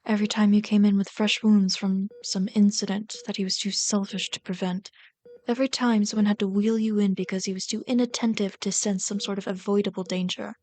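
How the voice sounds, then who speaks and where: A woman's voice: mid-range voice, little pitch movement, brisk, close to an everyday voice. A woman playing a bitter but caring villain queen in an ASMR roleplay, scolding and sardonic, often exasperated. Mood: hurt, bitter. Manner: scolding, sardonic, exasperated, dropping to hushed asides. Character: bitter, caring female villain rat queen tending a captive sidekick. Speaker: voice actor in an audio roleplay.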